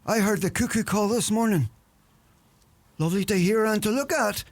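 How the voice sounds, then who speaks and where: High-pitched older Donegal countryman. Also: normal speed. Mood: wry, reflective. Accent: Irish, Donegal. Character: older rural Irish man, wry, gloomy, folksy sage. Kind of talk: radio play acting.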